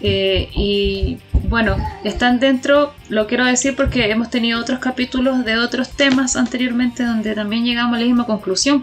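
A woman with a Chilean accent, co-hosting conversational commentary in an earnest, critical way, thinking aloud. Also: on the high side. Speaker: co-host.

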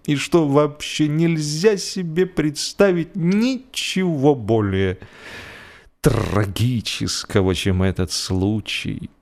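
Slow-paced male storyteller. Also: medium pitch. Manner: expressive, measured storytelling with deliberate pauses. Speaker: audiobook narrator. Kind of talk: dramatic scripted narration of humorous literary prose.

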